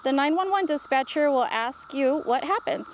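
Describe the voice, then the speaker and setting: A patient storybook grandmother, read aloud by a woman, reassuring and instructive. Her voice sits high, with faint noise behind it. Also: lively intonation, moderate pace, low-quality recording.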